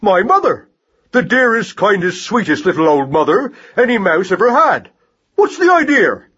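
A man's voice: high-pitched, very animated, moderate pace, a bit bright, breathy edge, highs cut off, voice pushed up, heavily acted. A man voicing a furious young giant mouse in a storybook, blustering through an indignant scolding tirade.

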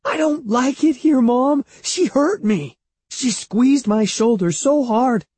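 A man puts on an anxious young storybook boy. The voice is pushed up high and slightly husky, whining and pleading in misery. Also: big pitch swings, drawn-out words, warm tone, no echo, full character voice. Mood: miserable wailing. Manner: pleading, wailing.

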